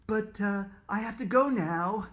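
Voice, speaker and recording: A man's voice: sits high, a little slow, a bit bright, pitched above the performer's own voice. A man voicing a cheerful adult woman who is an inventor and teaches a class. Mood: evasive. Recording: roomy echo.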